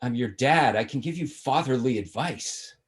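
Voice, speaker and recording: Animated, breezy sitcom dad played by a man. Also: mid-range voice, moderate pace, lightly acted. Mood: defensive. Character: breezy, shameless womanizing dad of a grown son. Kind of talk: sitcom table read. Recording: video call table read.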